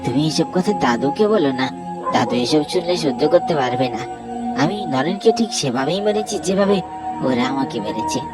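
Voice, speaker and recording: A man's voice: mid-range voice, animated, a little fast, over loud music, lightly acted. A man voicing a wronged young man in a Bengali horror cartoon, insistent and aggrieved in manner. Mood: bitter, vengeful. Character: wronged young man, murdered, now a vengeful restless pond ghost.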